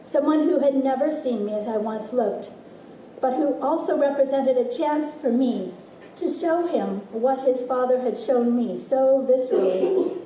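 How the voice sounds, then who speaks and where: Higher-pitched woman reading in a roomy space. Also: a little slow, mellow. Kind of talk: literary reading of a personal essay to a live audience. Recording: roomy, distant mic with faint noise.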